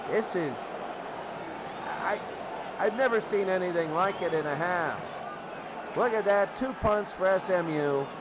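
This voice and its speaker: A man doing live football play-by-play, excitable and emphatic, often repeating his phrases. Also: high voice. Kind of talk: live sports commentary. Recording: noisy recording with loud crowd. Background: loud crowd noise.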